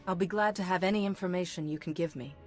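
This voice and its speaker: Woman acting a quick-spoken, courteous diplomat hero. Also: fairly low pitch, soft background music, close to an everyday voice. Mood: polite, composed. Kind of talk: video game dialogue.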